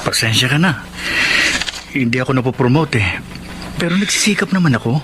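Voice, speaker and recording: An actor playing a meek, apologetic, hardworking husband in a Filipino radio drama, speaking hesitantly and submissively. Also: male voice, mid-range voice, animated, a little fast, close to an everyday voice. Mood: apologetic, subdued. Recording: clearly noisy radio drama audio.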